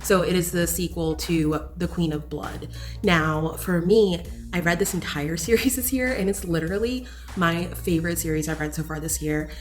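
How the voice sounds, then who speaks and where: Woman doing a chatty book review, voice a bit low, gushy and blunt, faint music behind her. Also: moderate pace. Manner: gushing, candid, emphatic.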